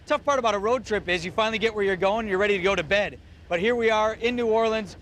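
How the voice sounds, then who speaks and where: A man's voice: sits high, quick pace, fairly bright tone. A male television news reporter giving an informal live report in a joking, conversational way. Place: outdoors on a city street in rain. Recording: outdoor live shot with ambient noise.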